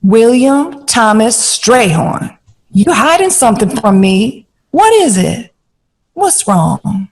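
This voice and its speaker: Casting: glamorous sixties confidante, tender and coaxing, unhurried, slightly husky higher voice. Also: female voice, sing-song, a little mellow, full character voice. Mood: teasing concern. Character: glamorous, tender 1960s woman, composer's close confidante. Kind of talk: audio drama acting.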